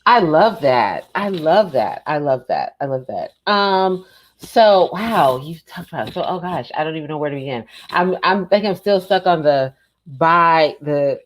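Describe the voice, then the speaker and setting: Woman, slightly low voice, podcast host, casual chat, effusive, repetitive emphasis. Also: lively intonation, measured pace.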